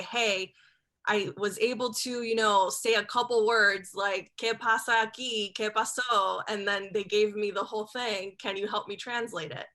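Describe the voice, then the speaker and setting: A woman from Cuba, a television journalist, joins a panel discussion over a video call. Her voice is bright and forward. She speaks candidly and conversationally, often repeating a word as she starts a thought. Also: medium pitch.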